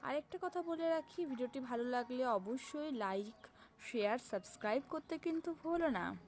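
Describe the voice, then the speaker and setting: A woman's voice: sits high, lively intonation, moderate pace, darkish tone, slight background noise. A woman presenting and reciting nursery rhymes in a sing-song way, speaking directly to small children. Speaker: host of a children's rhyme channel.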